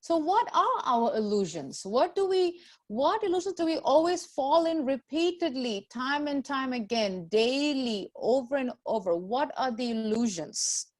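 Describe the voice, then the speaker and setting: A woman's voice: sits high, animated, measured pace, hardly any pauses. A woman teaching a scripture class to a group, explaining in a didactic way with repeated phrases and rhetorical questions.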